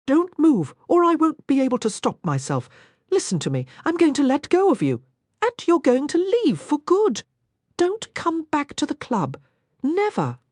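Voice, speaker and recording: A woman's voice: fairly high pitch, very animated, unhurried, darkish tone, no echo, voice pushed up, full character voice. Woman reader doing a brooding Cockney man, strained and commanding, raised voice, dry sound. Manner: strained, commanding, hoarse-edged. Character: rough, brooding gaming-club owner, adult man.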